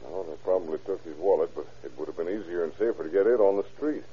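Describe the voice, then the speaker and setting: An actor playing a shrewd, terse police investigator in an old-time radio crime drama, reasoning matter-of-factly. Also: male voice, low voice, fast talker, breathy edge. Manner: matter-of-fact, terse, deductive. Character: shrewd, hard-boiled male police investigator. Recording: muffled old radio recording.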